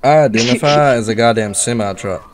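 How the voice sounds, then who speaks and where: A man's voice: mid-range voice, a little fast. A man on casual gaming voice chat, brash and profane, teasing his teammates with mock aggression. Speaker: streamer trolling random teammates.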